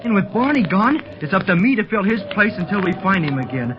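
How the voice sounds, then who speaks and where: High-voiced eager teenage boy. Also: sing-song, fairly quick. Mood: eager, pleading. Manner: earnest, gee-whiz enthusiasm. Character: eager, curious fifteen-year-old boy adventurer. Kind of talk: 1930s radio serial acting. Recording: noisy, muffled 1930s radio recording.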